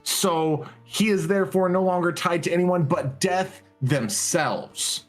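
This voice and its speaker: A man with a somewhat high voice banters at a game table. He is cheeky and casual, often sounding mock-indignant. Quiet guitar music runs underneath. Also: unhurried.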